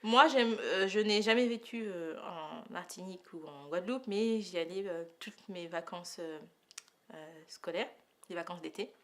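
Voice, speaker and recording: A thirty-year-old woman from Paris gives a spontaneous personal testimonial, pausing often as she thinks aloud in a hesitant way. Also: lowish voice, a bit bright. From Paris, France. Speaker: testimonial interviewee.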